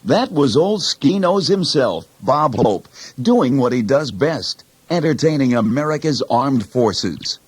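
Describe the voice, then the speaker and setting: A man's voice: medium pitch, big pitch swings, slow, slightly rough, no echo. A man narrating a scripted comedy compilation as its presenter.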